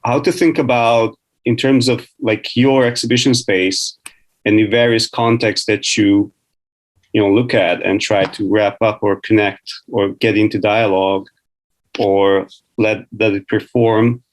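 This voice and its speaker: A man's voice: fairly low pitch, steady tone, a little slow, low-quality recording. A man, an academic, who moderates a panel and searches thoughtfully for his words. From Hungary. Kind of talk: panel conversation.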